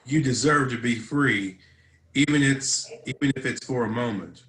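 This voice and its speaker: A man's voice: medium pitch, normal speed, a bit bright, a little hiss or hum, natural voice. Guy reading troubled blunt teen boy in a drama, echoey room. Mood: urging. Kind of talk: script table read. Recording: roomy echoing home recording.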